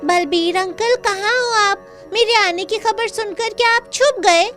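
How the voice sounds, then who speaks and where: A woman's voice: very high voice, unhurried, fairly bright tone, soft background music. A woman voicing a cheeky, playful little girl in a Hindi audio drama. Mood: playful, teasing.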